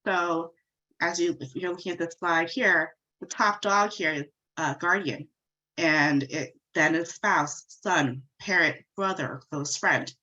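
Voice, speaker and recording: A female attorney with a very low but bright, forceful voice, congested from a cold. She explains conversationally as she walks through slides, and her sound comes over a dull, lo-fi call line. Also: slow pace. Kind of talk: legal webinar presentation.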